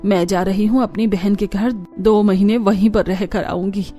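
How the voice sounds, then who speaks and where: A woman's voice: mid-range voice, fairly even intonation, normal speed, warm tone, close to an everyday voice. Woman as a huffy cartoon mother-in-law. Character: grumpy, self-centred mother-in-law leaving the sweltering house. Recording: music behind the voice.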